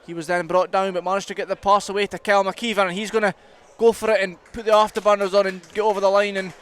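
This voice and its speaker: A man's voice: sits high, little pitch movement, fast talker, fairly bright tone. A Scottish man giving live play-by-play commentary on a rugby match. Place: commentary box at a rugby ground. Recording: outdoor ground with clear background noise.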